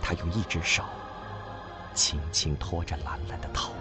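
A man narrating a scripted radio drama in an emotive, deliberate storytelling manner. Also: sits low, flat delivery, music in the background.

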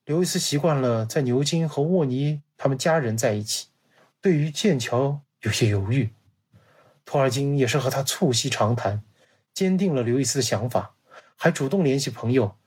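A man's voice: medium pitch, normal speed, a little mellow, slightly rough. A man giving a scripted, dramatized narration like a radio play, acting out quoted dialogue as he tells it. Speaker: guest storyteller.

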